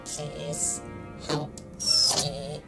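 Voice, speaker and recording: A pained, pleading half-human cyborg in an audio drama. The voice is low, extremely slow and completely flat, laboured with suffering. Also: female voice, vocal fry, faint music behind, full character voice. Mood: agonised, pleading.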